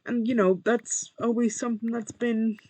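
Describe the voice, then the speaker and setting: A young man with a monotone voice, chatting casually while playing a game and muttering to himself over his first recording. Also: extremely high pitch, normal speed, no echo. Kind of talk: casual gameplay commentary.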